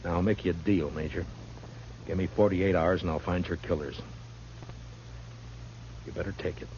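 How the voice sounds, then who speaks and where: An actor playing a stern, world-weary frontier marshal in a radio western, speaking in a terse, dry, blunt way. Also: male voice, deep voice, fairly even intonation, moderate pace, darkish tone. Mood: stern, defiant. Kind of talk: 1950s radio western acting. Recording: muffled, noisy old radio recording.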